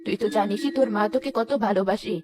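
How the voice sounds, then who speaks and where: A woman plays a kindly old grandmother in a village cartoon. She talks quickly in a matter-of-fact, soothing way, well below the shrill pitch of a ghost, with music behind her. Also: close to an everyday voice. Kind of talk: cartoon character acting.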